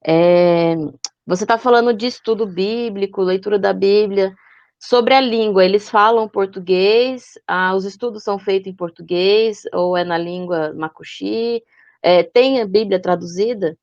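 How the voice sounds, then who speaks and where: A woman's voice: mid-range voice, unhurried, darkish tone, dry recording, dull audio. Affirming woman interviewer, dry sound. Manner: affirming, asks follow-up questions. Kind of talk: conversational church interview.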